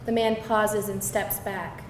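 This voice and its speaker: A woman's voice: mid-range voice, flat delivery, takes it slowly. Woman narrating a screenplay read, echoey room, sounds far from the mic. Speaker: stage-direction narrator. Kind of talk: scripted narration at a live screenplay table read. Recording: echoey room, distant mic, faint noise.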